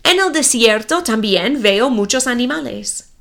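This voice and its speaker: A woman's voice: fairly high pitch, animated, unhurried, creaky, fairly bright tone. A woman from the United States with an American accent, a native English speaker, narrating a scripted story for language learners in simple, carefully separated sentences. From Minnesota, United States. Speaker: host of a Spanish-learning story podcast.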